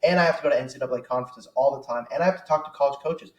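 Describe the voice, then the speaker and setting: A young man who works as a college soccer recruiting advisor gives a casual, persuasive sales pitch, talking in a chatty way with lots of fillers. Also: racing, lo-fi sound. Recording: echoey room, distant mic.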